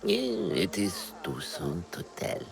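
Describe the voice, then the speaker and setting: A man's voice: low-pitched, huge pitch swings, slow, vocal fry, full character voice. A man playing a proud, testy elderly scholar in a video game, pompous and theatrical. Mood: dismissive. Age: older. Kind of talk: video game cutscene acting.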